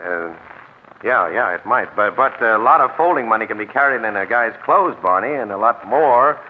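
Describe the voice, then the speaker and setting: An actor playing a wry, streetwise American crime photographer in a 1940s radio drama, with a hesitant, confiding, slangy delivery. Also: male voice, medium pitch, lively intonation, moderate pace, natural voice. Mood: sly, confiding. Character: wry, sly male crime photographer, quick-thinking and streetwise. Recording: scratchy, tinny old radio broadcast.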